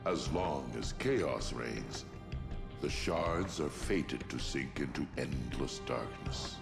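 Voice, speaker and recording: An actor playing a solemn, brooding sorcerer and older brother in a video game, speaking formally and gravely over music. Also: male voice, rumbling low, expressive, slow pace, exaggerated voice. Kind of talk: theatrical video game cutscene acting.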